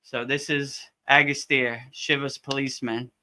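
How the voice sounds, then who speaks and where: A man's voice: mid-range voice, little pitch movement, moderate pace, sharp tone. A man hosting a live question-and-answer session as a yoga teacher, chatting informally and often repeating and rephrasing his points. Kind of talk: casual live Q&A chat.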